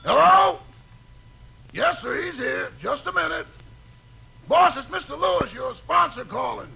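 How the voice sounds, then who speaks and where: A man playing a deferential servant with a gravelly voice in a vintage radio comedy. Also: very high voice, lively intonation, a little slow, bright, forceful tone, heavily acted, low-quality recording. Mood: eager. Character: deferential male servant answering his boss's phone. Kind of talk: 1950s radio comedy acting. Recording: old radio recording, faint noise.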